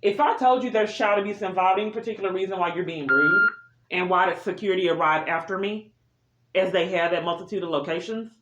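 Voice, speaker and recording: A woman's voice: on the low side, fairly even intonation, brisk, roomy sound. A woman speaking unscripted in an insistent, aggrieved way, as if setting out a long personal complaint. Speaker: vlogger recording a personal complaint. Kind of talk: unscripted monologue of grievances. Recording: muffled audio with heavy noise and clicks.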